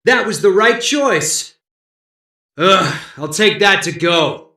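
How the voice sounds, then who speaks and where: A man's voice: sits high, expressive, takes it slowly, bright, forceful tone, slight background noise, heavily acted. A man voicing a big jovial animatronic bear in a video game, hammy and hearty like a showman. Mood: hearty, showy. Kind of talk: video game catchphrase acting. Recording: roomy echo.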